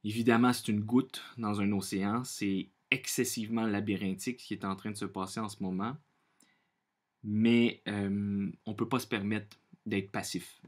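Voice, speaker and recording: A man from Quebec with a Quebec French accent, speaking earnestly and off the cuff as a vlogger, often pausing and repeating himself as he searches for words. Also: lowish voice, little pitch movement. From Quebec, Canada. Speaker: vlogger sharing personal opinions. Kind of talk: unscripted monologue to camera.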